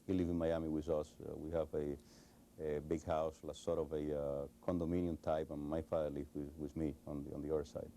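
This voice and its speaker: Deep, flat-voiced Cuban-accented man. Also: fairly quick, a little hiss or hum. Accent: Cuban Spanish. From Cuba. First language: Spanish. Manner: hesitant, many fillers and restarts. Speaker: interview guest. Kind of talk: recorded television interview.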